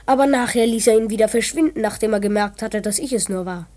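Woman playing an eager high-voiced boy detective. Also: quick pace, dark tone, natural voice. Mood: confiding narration. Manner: earnest, dramatic. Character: eager twelve-year-old boy detective, earnest and proud. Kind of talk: children's radio play acting and narration.

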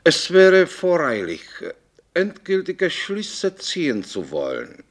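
A pedantic male scientist answers questions in a radio drama. The voice is a little high and rises and falls a lot. The delivery is careful and academic. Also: wildly swooping pitch, slow, exaggerated voice. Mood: cautious lecturing. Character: male geology professor, cautious, pedantic radio expert. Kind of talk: interview answers in a radio play.

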